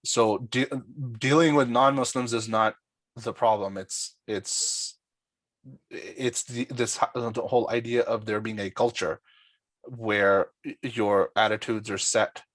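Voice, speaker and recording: A man's voice: on the low side, steady tone, slow pace, a bit bright, no echo. A young man from Dubai, a podcast guest talking casually and explaining his points step by step, often checking in with "right?". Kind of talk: casual conversational interview.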